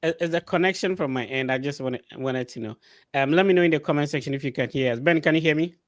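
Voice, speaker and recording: A man's voice: on the high side, fast talker, no echo. Casual male online show host, dry sound. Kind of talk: casual live interview hosting. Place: live online video call.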